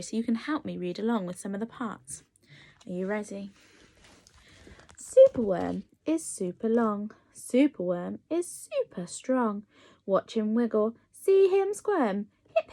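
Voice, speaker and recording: A woman reading a picture book aloud as a nursery teacher, expressive and encouraging as she addresses young children. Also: a little high. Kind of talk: animated children's storytime reading.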